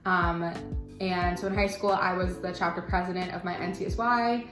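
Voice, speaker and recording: A woman's voice: lowish voice, moderate pace. A young woman talking casually about student leadership, with many fillers. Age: young adult. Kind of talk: casual chat. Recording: echoey room with music.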